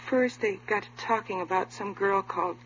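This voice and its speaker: An actress playing a polite, hesitant young office worker being questioned in a 1950s radio police drama. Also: female voice, mid-range voice, normal speed, a bit bright, a little hiss or hum, close to an everyday voice. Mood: earnest, frightened. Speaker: supporting actor. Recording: muffled, humming vintage radio recording.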